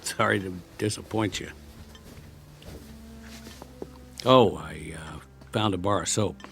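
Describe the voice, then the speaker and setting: A man's voice: low voice, normal speed, creaky, fairly bright tone, soft background music. An actor playing a weary, talkative soldier in a radio drama, wry and pleading as he tries to make peace. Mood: subdued, sheepish. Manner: chatty, wry, pleading, sprinkles foreign words. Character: weary, talkative young-ish soldier making peace after war.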